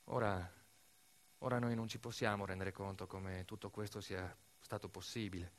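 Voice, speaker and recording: A man's voice: low voice, little pitch movement, normal speed. A man performing a dramatic storytelling monologue, with emphatic, building, repeated phrases. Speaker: stage actor and narrator of a documentary monologue.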